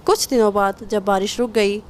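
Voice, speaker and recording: A woman's voice: medium pitch, fairly even intonation, moderate pace, slight background noise. A woman narrator reading a scripted children's story.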